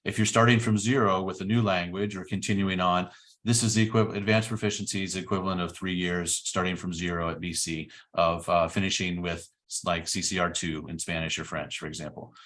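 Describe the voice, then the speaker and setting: A man's voice: deep voice, fairly even intonation, a little fast, a bit bright. A middle-aged man giving an informational presentation about a study program, explaining step by step with frequent fillers. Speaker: program director.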